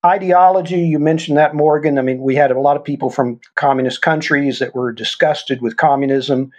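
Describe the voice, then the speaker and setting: An older man, a guest, talks with earnest conviction and illustrates his points with stories. His voice is husky and sits a touch higher than most men's. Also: steady tone, brisk, highs cut off. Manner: earnest, explains with examples. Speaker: guest, former intelligence officer. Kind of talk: conversational storytelling interview.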